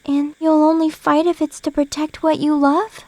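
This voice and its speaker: A woman voicing a gentle, affectionate guardian angel in an audio drama, sweet, tender and coy. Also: sits high, little pitch movement, moderate pace.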